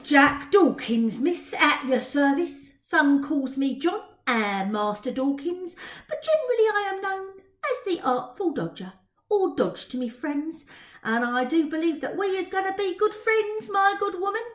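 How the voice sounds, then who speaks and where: A woman voicing a cocky, charming teenage Victorian pickpocket boy with street slang and mock-gentlemanly swagger, in a storybook reading. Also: very high-pitched, very animated, a little slow, voice pushed up, heavily acted. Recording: roomy indoor echo.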